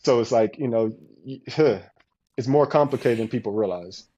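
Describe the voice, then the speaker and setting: Mellow-voiced man on muffled audio. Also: medium pitch, normal speed, slightly rough. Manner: emphatic, repetitive, with restarts. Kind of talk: casual conversational interview.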